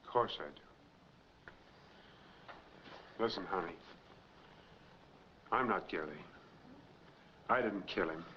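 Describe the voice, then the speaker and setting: A man's voice: sits low, measured pace. A middle-aged American man playing a weary, earnest fugitive wronged by the law, in 1940s film noir style. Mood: bitter, insistent. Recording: dull, noisy 1940s film soundtrack.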